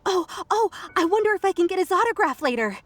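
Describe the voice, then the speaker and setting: Chatty excited bystander, high and bouncy. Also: female voice, fast, faint music behind, exaggerated voice. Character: excited gossipy female crowd onlooker. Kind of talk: video game voice acting.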